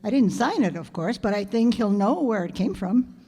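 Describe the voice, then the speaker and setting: A woman's voice: medium pitch, expressive, moderate pace, warm tone, husky. A woman playing a wry, wisecracking schoolteacher with dry, sardonic delivery in old-time radio comedy style. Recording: reverberant hall stage recording.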